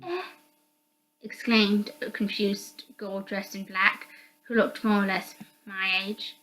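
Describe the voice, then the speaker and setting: A woman's voice: mid-range voice, flat delivery, slow pace, pushed and bright, soft background music, rough recording. A woman reading first-person story narration aloud from a visual novel.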